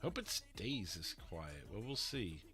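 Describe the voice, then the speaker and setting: A man chatting casually with his stream viewers, his voice a little rough and stuffy, rambling and laughing often. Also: low voice, sing-song, a little slow, sharp tone, soft background music. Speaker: livestreamer playing a roleplay dispatcher.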